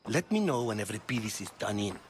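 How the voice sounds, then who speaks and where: An actor playing a vain, disdainful intellectual in a video game, speaking in a pompous, arch manner. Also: male voice, on the low side, very animated, a little fast, a little hiss or hum. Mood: sly amusement. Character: vain, witty, disdainful male Athenian intellectual. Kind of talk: video game cutscene acting.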